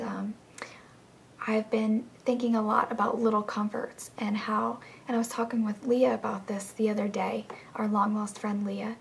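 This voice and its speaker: A young woman who is losing her voice, talking earnestly and encouragingly to her friends in a casual video message, often slipping into a breathy, whispery delivery. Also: fairly high pitch, slight background noise. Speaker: vlogger in a group channel.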